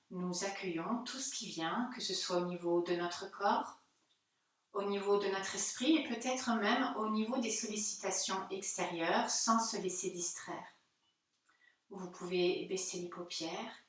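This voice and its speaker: Woman, yoga nidra instructor, mid-pitched, gentle soothing guidance, heavy room echo. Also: a little slow. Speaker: yoga teacher. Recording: very echoey room, distant mic.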